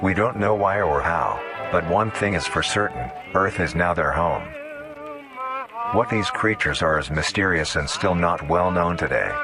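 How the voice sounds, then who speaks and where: A man reading scripted mock-documentary horror narration in a formal, measured, explanatory way. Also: deep voice, expressive, over music.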